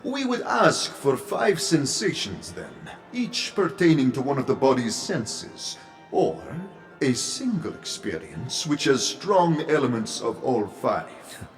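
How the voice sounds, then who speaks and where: A man's voice: medium pitch, big pitch swings, slow, faint music behind. An actor playing a formal, solemn male doorman in a fantasy audio drama, speaking ceremoniously. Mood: solemn, measured.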